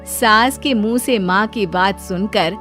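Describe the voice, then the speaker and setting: A woman's voice: medium pitch, slow, fairly bright tone, faint music behind. A woman telling a story as narrator, in a chatty, scripted style.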